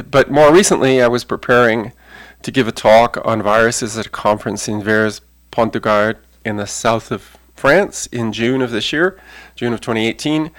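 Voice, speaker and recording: A man giving a measured explanatory talk, pausing with frequent fillers. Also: mid-range voice. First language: not Italian. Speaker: lecturer. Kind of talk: spoken talk.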